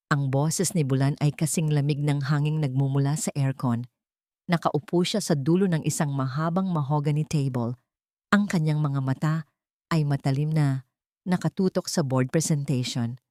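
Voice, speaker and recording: A woman's voice: low-pitched, warm tone, no room sound, clean studio sound. A woman storyteller reading a scripted dramatic story as narration.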